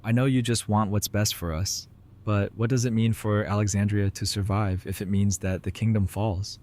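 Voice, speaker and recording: A man's voice: on the low side, steady tone, normal speed, darkish tone, close to an everyday voice. A man playing an earnest, idealistic councilman arguing for cooperation in an audio drama. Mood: pleading. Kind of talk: full-cast audio drama acting.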